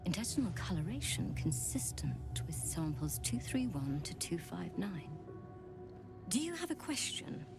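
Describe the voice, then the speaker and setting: A woman's voice: fairly low pitch, big pitch swings, measured pace, vocal fry, full character voice. A woman playing a haughty, clinical alien infirmary healer in a fantasy video game, coldly curious and condescending. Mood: clinical, brusque. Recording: music behind the voice.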